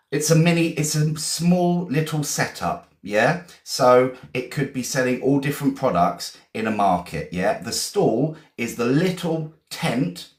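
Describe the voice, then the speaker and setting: A man's voice: medium pitch, roomy sound. A man with an English accent, teaching English vocabulary in a live stream, chatty and encouraging, greeting viewers and repeating his questions. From England. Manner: repeats questions, slows to spell words out.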